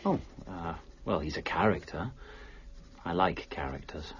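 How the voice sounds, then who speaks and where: An actor playing a polite, affable artist in a period radio drama, speaking courteously and with gentle hesitation. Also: male voice, low-pitched, animated, unhurried, muffled recording, slight background noise. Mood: gentle, attentive. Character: polite, affable artist, the detective's admiring friend.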